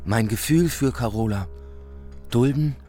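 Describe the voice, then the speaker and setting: A man's voice: medium pitch, a little slow, mellow, soft background music, natural voice. An actor playing an earnest, lovesick young man in a radio play, speaking in a pleading way. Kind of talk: German radio play acting.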